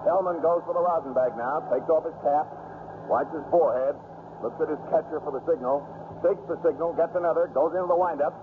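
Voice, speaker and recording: An actor playing an excited baseball play-by-play announcer with a dramatic manner in a 1950s radio drama. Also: male voice, fairly high pitch, brisk, close to an everyday voice. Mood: excited, tense. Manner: dramatic, folksy showmanship. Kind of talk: play-by-play commentary. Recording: noisy, tinny 1950s radio broadcast.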